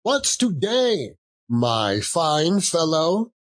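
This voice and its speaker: A man playing a giddy, reformed old gentleman in an audiobook, exclamatory and effusive, given to chuckling. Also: fairly high pitch, very animated, very slow, voice pushed up, exaggerated voice. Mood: giddy delight.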